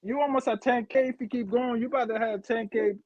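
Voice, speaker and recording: A man's voice: extremely high pitch, fairly even intonation, fast talker, darkish tone, dry recording, lo-fi sound. A man chatting casually as a guest, easygoing and agreeable. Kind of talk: casual chat.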